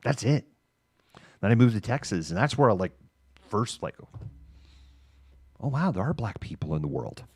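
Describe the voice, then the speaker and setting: Male livestream host, middle-aged, American. Low-pitched, dry sound, candid and halting off-the-cuff delivery. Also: very animated, darkish tone, husky, dry recording. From Massachusetts, United States. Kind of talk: casual monologue of personal reminiscence.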